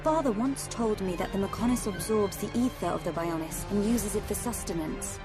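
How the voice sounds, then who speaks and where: A woman's voice: medium pitch, moderate pace, music in the background, close to an everyday voice. An actress playing a poised, earnest young noblewoman in a video game cutscene.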